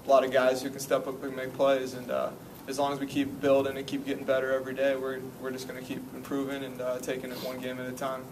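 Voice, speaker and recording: A young man, a senior college basketball player, giving measured postgame answers to reporters with frequent "uh" fillers. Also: medium pitch. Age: young adult. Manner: steady, measured team talk with "uh" fillers. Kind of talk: postgame press conference answers. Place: press conference room. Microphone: table mic, picked up from a distance. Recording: distant mic, noisy room.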